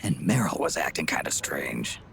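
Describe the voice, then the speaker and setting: An actor playing a weary, gruff aging soldier in a video game, with a gravelly growl and a terse, fatalistic manner. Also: male voice, a bass voice, very animated, moderate pace, a little hiss or hum, exaggerated voice. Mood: wary, questioning. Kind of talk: dramatic video game cutscene acting. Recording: loud music behind the voice.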